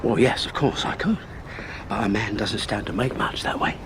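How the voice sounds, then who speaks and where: A man's voice: lowish voice, normal speed, background noise, lightly acted. An actor playing an anxious, scheming bank clerk and family man in a period audio drama, speaking formally and self-importantly. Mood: furtive, persuasive. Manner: formal, old-fashioned, self-important phrasing.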